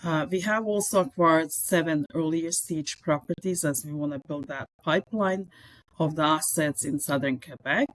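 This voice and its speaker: A woman's voice: sits low, normal speed, no room sound, poor audio. A middle-aged woman from Serbia with a Serbian accent, speaking English as a second language and talking earnestly as a guest in a podcast interview. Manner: earnest, enthusiastic, with non-native phrasing. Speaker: mining executive guest.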